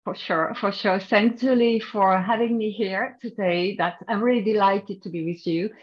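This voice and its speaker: A woman's voice: mid-range voice, normal speed, lo-fi sound. A middle-aged woman with a French accent, a guest coach explaining her ideas thoughtfully in a podcast interview, often restarting her sentences. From France.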